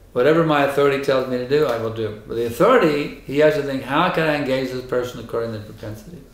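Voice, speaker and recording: A hoarse man speaks as a spiritual teacher in a temple, driving his points home with emphatic repetition. His voice sits in a middle register. The hall around him rings with a roomy echo. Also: medium pitch. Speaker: spiritual teacher giving a lecture. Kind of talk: temple lecture with questions and answers. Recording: echoey hall.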